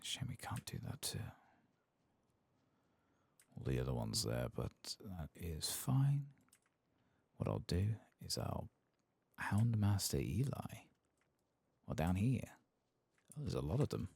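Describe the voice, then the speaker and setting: A man with an extremely deep, gravelly voice speaks softly, drifting now and then toward a whisper. He narrates a video game in an ASMR style, unhurried and musing, with lively intonation that rises and dips. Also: slight background noise. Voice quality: soft, hushed, sometimes slipping into whispers. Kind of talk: soft-spoken ASMR game playthrough commentary.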